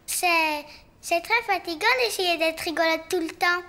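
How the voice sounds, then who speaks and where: A woman's voice: very high voice, steady tone, a little slow, bright, forceful tone, heavily acted. A woman voicing a sad, brave little hedgehog girl in a children's cartoon, childlike and plaintive. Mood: sad, vulnerable. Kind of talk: French-dubbed children's cartoon acting. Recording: music behind the voice.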